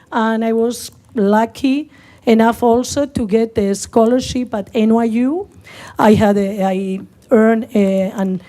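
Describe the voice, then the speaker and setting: A woman in her sixties from northern Spain, with a Spanish accent and Spanish as her first language, giving a halting public comment at a podium microphone. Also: a little high, warm tone. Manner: halting, searching for words, many fillers.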